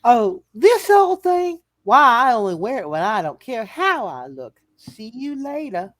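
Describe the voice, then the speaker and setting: Woman playing a coy, lively small-town flirt. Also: on the high side, a little slow, dry recording. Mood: flirty. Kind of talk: live comedic radio play reading.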